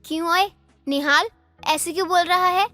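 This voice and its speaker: A woman's voice: extremely high pitch, steady tone, normal speed, pushed and bright, faint music behind. A woman playing a young college student in a cartoon story, scolding and teasing with sarcastic slang. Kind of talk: Hindi animated moral story dialogue.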